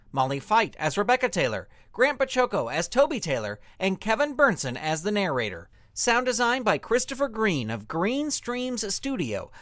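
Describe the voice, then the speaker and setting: A man's voice: high voice, fairly bright tone, no room sound, clean studio sound. A man reading scripted show credits as an announcer, in an upbeat style.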